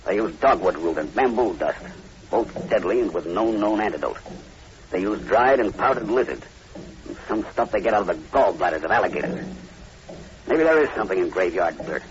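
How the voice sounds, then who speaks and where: Guy acting a grim superstitious plantation boss, lowish ominous voice, old crackly radio horror show. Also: expressive, moderate pace, close to an everyday voice. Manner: ominous, emphatic, dramatic storytelling. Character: grim, superstitious male plantation overseer, long in Haiti. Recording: muffled, noisy old radio recording.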